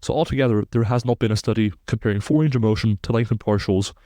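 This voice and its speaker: A man's voice: fairly low pitch, fast talker, dark tone, no room sound, studio quality. A man in his twenties, raised in Belgium, explaining sports science research in a precise, technical way as a podcast guest. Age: 20s.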